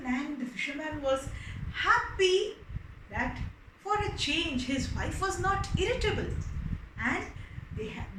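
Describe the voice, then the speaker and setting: Indian-accented woman, children's storyteller, expressive, unhurried, echoey room with faint noise. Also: very high voice.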